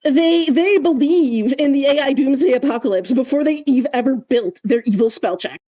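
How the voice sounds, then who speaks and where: Woman podcast host explaining stuff casually, kinda high but really mellow voice, emphatic, bit muffled lofi audio. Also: normal speed. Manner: emphatic, hedging with frequent "like" and "right?".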